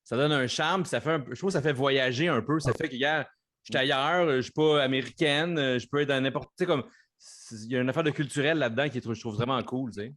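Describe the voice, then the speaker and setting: A man with a Québécois accent co-hosts a podcast in relaxed conversation. He speaks in a halting way, often restarting his sentences. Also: mid-range voice. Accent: Québécois French. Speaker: podcast co-host. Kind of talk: casual chat.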